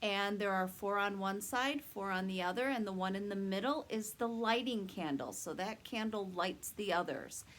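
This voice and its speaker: A woman's voice: mid-range voice, normal speed, hardly any pauses, fairly bright tone. A woman leading a read-aloud story time for young children, sing-song and encouraging, explaining things simply.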